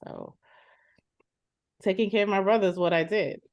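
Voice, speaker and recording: An actress playing a protective older sister in a therapy session, speaking hesitantly and trailing off. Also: female voice, mid-range voice, steady tone, moderate pace, close to an everyday voice. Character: protective older sister, young adult woman, weary. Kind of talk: improvised dramatized therapy session.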